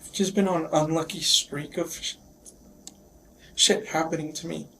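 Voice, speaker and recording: Shaken higher-voiced man, flat delivery. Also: creaky. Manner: shaken, halting, repeats himself. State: getting over a sickness, shaken up. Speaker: interviewee in police questioning. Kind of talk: halting recounting in a police interview. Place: police questioning, recorded on bodycam. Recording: noisy police bodycam audio.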